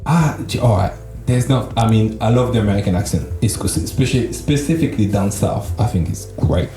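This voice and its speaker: A man with a slight French accent talks casually, looping back over his own words as he works toward a point. His voice sits in a medium pitch and carries the echo of a live room, with music playing behind him. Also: fairly quick, warm tone. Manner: repeats himself, restarts often. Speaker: podcast co-host. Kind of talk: casual chat.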